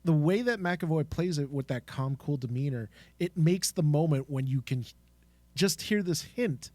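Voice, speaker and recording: A man's voice: on the high side, animated, moderate pace, dark tone, dry recording. A man co-hosting a fan podcast, chatting casually in an enthusiastic, agreeable manner. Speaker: podcast co-host.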